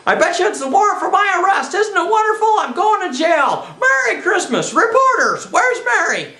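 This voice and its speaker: A man's voice: very high-pitched, very animated, moderate pace, bright, forceful tone, roomy sound, voice pushed up, exaggerated voice. A man voicing an ecstatic fellow giddy with joy, whooping out exclamations as in a told story.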